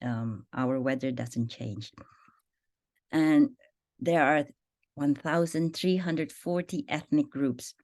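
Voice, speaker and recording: Indonesian-accented woman, low and unhurried. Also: darkish tone, no echo, clean studio sound. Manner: explains slowly, repeats phrases and their translations, many fillers. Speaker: language teacher. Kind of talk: spoken language lesson.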